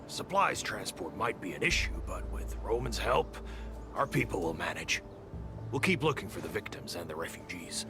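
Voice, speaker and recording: An actor playing an earnest, protective male outpost leader in a game cutscene, sincere and reassuring, with a gravelly voice. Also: low voice, big pitch swings, normal speed. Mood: resolute. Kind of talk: dramatic video game cutscene acting. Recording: music behind the voice.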